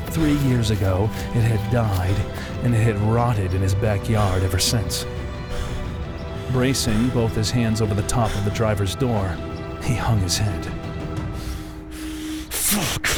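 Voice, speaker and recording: A man narrating a scripted story as an audiobook narrator, deliberate and dramatic in delivery. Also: lowish voice.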